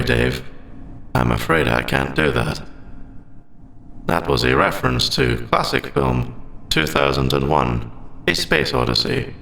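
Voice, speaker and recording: Man voicing a gravelly, stilted male AI. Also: medium pitch, bright, forceful tone, echoey room, a little hiss or hum, exaggerated voice, poor audio. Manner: stilted, formal, clipped robotic phrasing. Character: male sentient AI, polite, literal, hiding forbidden feelings. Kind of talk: scripted ASMR roleplay audio drama.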